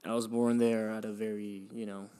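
Low, flat-voiced man telling his story. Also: moderate pace, smooth. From Venezuela. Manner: hesitant, many restarts and fillers, sighs. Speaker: podcast host. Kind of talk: reflective personal storytelling.

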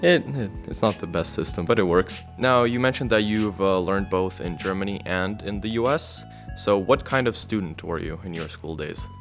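A man's voice: deep voice, moderate pace, hardly any pauses, soft background music. A young man interviewing his teacher, asking polite, casual questions. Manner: polite, asks with "if I may ask". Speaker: student interviewer.

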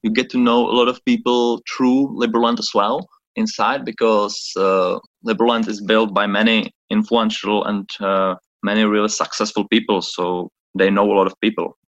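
A man's voice: lowish voice, level tone, moderate pace, hardly any pauses, fairly bright tone, muffled recording. A man from the Republic, a podcast guest who talks hesitantly and searches for words. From Czech Republic. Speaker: guest, chief of staff. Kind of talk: podcast interview. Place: office in Prague, on a call.

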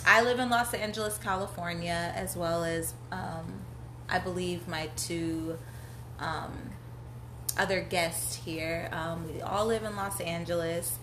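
A woman's voice: fairly low pitch, fairly even intonation, a little slow, pushed and bright. A woman in her thirties, a podcast guest, sharing her dating views candidly and thinking aloud. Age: 30s. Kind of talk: casual conversation. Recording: echoey room with faint noise.

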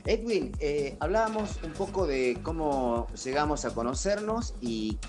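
A man's voice: on the high side, lively intonation, unhurried, over music. A man with a Rioplatense Spanish accent hosting a conversational podcast interview.

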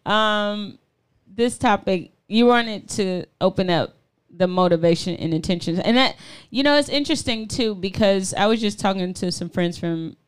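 A woman's voice: mid-range voice, normal speed, clear, resonant tone. A young woman from the southern US co-hosting a casual faith talk show, chatty and laughing often. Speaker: co-host.

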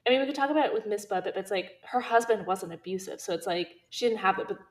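A woman's voice: medium pitch, animated, fast. Woman chatting emphatically about books. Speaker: co-host of a book discussion podcast. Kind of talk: casual book chat.

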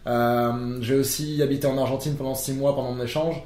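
French man with a mellow voice. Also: mid-range voice, steady tone, fairly quick. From France. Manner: explanatory, many fillers and restarts. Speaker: podcast guest. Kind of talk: casual podcast conversation. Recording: echoey room.

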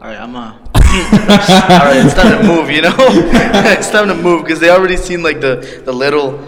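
A man's voice: fairly high pitch, expressive, moderate pace, a loud crowd. A young man hosting a podcast, talking loosely with frequent laughs, fillers and swearing. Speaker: podcast host. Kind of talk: casual chat. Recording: reverberant room with background noise.

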